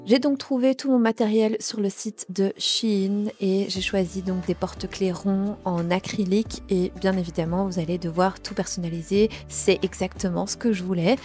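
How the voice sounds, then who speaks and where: A woman's voice: medium pitch, fairly even intonation, normal speed, few pauses, faint music behind. A young woman whose first language is Portuguese, chatting casually through a crafts tutorial with many small fillers. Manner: chatty, warm, many fillers like euh and ben. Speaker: DIY and family vlogger.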